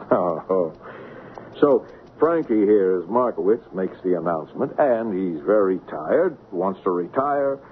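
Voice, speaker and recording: An actor playing a smug, persuasive American swindler in a radio drama, coaxing in a conversational way. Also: male voice, mid-range voice. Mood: smug, cold. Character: fast-talking male swindler, smug, persuasive schemer. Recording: tinny, noisy old radio broadcast.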